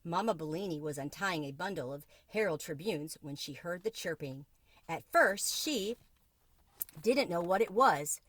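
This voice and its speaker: A woman with a fairly low voice reads a children's book aloud. The recording is close and dry, and she narrates as a storyteller. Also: animated, normal speed, no room sound.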